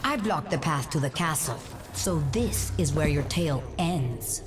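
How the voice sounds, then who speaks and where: A woman's voice: deep voice, lively intonation, measured pace. A woman voicing a vengeful widowed bride who mocks the heroes with theatrical menace in a video game. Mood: menacing. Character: vengeful widowed bride, mocking castle villain. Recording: game music behind.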